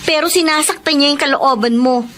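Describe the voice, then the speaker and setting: An actress playing a kind, outspoken young woman student who talks frankly and warmly. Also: high voice, brisk, a little hiss or hum. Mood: indignant. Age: young adult.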